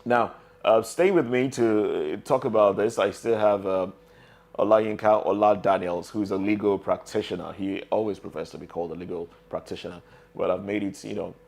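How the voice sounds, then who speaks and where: A Nigerian man presents a live news discussion show. His voice sits fairly low, and he talks in a conversational way, speaking straight to his audience and guests. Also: fairly even intonation, fairly quick, vocal fry, darkish tone. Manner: conversational, addresses guests directly.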